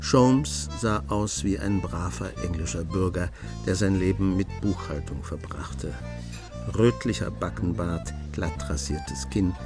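A man's voice: low-pitched, unhurried. A man reading scripted literary narration as the narrator of a German radio drama. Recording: music behind the narration.

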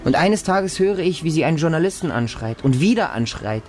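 A man's voice: on the high side, animated, a little fast, darkish tone, soft background music, close to an everyday voice. An actor playing a distrustful feral orphan boy raised by dogs, narrating a radio drama in short, insistent, repetitive childlike sentences. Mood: softening, wistful. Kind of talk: radio drama monologue, first-person narration.

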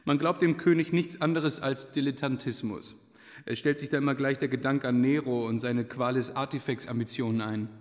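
A man's voice: mid-range voice, steady tone, a little fast, natural voice. Actor as a gracious, hesitant king, echoing hall. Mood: wistful, reflective. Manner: courtly, formal, with small hesitations. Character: king in his thirties, genial, gracious, hesitant, wistful. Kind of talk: literary radio play dialogue.

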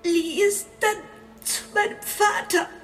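A distraught young woman in a radio play, very high-pitched and tearful. She speaks slowly and haltingly, repeating words as she confesses, in a roomy, echoing space. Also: steady tone, a bit bright, exaggerated voice. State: crying. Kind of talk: radio drama acting. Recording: roomy echo.

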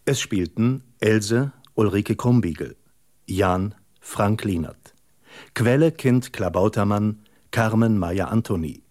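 A man's voice: on the low side, slow pace, darkish tone. A man reading radio drama credits as an announcer, in a formal scripted style.

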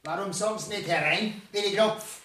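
An actor playing a grumpy, threatening landlord in a radio play, blustering in Bavarian dialect. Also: male voice, sits high, moderate pace, echoey room, noisy background, lightly acted. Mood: indignant, threatening. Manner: gruff, indignant, blustering. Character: grumpy, threatening landlord demanding his rent.

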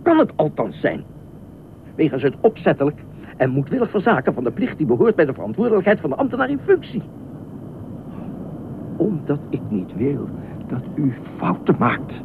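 A dutiful, grieving male lifeguard in a radio play, halting and pedantic, with a swinging, expressive pitch. He rambles in formal phrases. The sound is narrow and phone-like, with a loud rumble behind him. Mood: indignant, officious. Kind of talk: Dutch radio drama acting.

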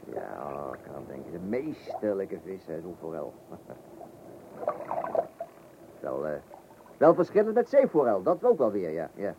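Bumbling, chatty angler, lively pitch swings. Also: male voice, measured pace, pauses often, exaggerated voice. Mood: chatty, jovial. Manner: rambling, hesitant, repeats himself, chuckling to himself. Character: bumbling, chatty, eager amateur angler Wilkinson. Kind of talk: radio play acting. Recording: dull, noisy old radio play recording.

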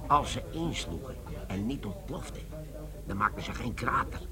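An actor playing a gruff, bossy army bomb-disposal sergeant in a Dutch radio play. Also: male voice, fairly low pitch, animated, normal speed, crowd noise, lightly acted. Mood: explaining, animated.

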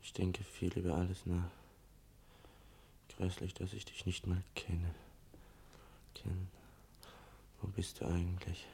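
An actor playing an earnest, troubled son who confides haltingly in an absent mother, in a German radio play. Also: monotone, mellow. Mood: troubled, musing. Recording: old radio recording with rumbling noise.

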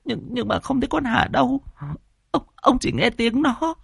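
Man voicing a high, trembling old village wife. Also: expressive, normal speed, creaky, slightly rough, no echo, studio quality, heavily acted. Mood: trembling fear. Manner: stammering, quavering. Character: frightened, stammering elderly village wife.

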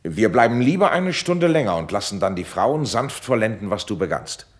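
Wise calm prince, deep voice, commanding but measured, old verse radio play. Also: male voice. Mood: calmly commanding. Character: calm, authoritative prince, fatherly mediator of quarrels. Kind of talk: classical verse stage acting for radio.

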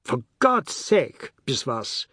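A man's voice: mid-range voice, lively intonation, unhurried, husky, no echo, clean studio sound. A man voicing an exasperated grown son of a household in a story, irritable and impatient. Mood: irate.

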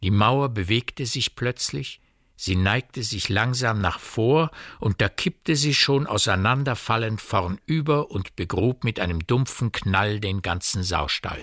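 A man reading scripted literary narration for a radio play, telling the story in a measured way. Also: lowish voice, lively intonation, fairly bright tone, no echo, studio quality.

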